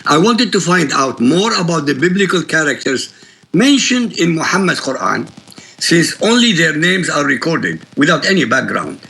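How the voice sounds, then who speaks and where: Man, Iraqi Arabic accent, native Arabic speaker, gravelly, sharp and forceful, emphatic guest. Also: fairly high pitch, big pitch swings, normal speed, poor audio. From Iraq. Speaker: guest author. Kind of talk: TV interview answers.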